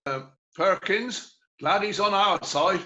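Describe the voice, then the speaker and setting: Man as a high, bright, friendly cavalry lieutenant. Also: slow pace, lo-fi sound, heavily acted. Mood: light joking. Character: sweet, friendly, benign upper-class young cavalry lieutenant. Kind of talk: amateur screenplay read-through.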